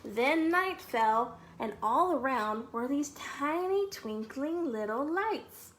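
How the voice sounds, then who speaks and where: A woman leads a children's storytime in a high, bright voice. She speaks to young children in an animated, sing-song manner, as a host who moves between chat and reading aloud. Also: slow, slight background noise.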